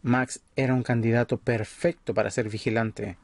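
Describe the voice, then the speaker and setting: A man playing an authoritarian vampire leader in an audio roleplay, speaking in a measured, condescending way. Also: medium pitch, fairly even intonation, darkish tone, no echo, close to an everyday voice. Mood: cold, composed. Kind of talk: ASMR audio roleplay acting.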